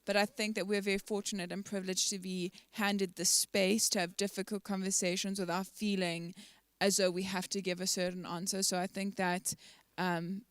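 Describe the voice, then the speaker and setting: Young woman, mid pitch, careful n earnest, church team chat, really dry audio. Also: flat delivery, normal speed, clear, resonant tone, dry recording. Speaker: church team member in a discussion. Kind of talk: unscripted discussion.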